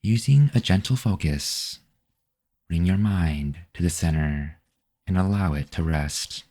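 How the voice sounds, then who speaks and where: Really deep voiced guy doing guided meditation, super slow, calm and measured.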